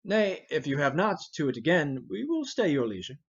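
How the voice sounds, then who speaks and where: A man playing a measured, scheming older noble uncle in a formal, deliberate Shakespeare reading. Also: fairly high pitch, big pitch swings, husky, dull audio, heavily acted. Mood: measured, secretive. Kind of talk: dramatic reading of a Shakespeare play.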